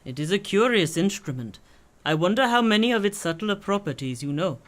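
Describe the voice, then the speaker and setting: A man playing a learned, cryptic swami in a radio play, speaking in a formal, measured, knowing way. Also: sits high, expressive, a bit bright. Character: learned, cryptic swami versed in dream worlds.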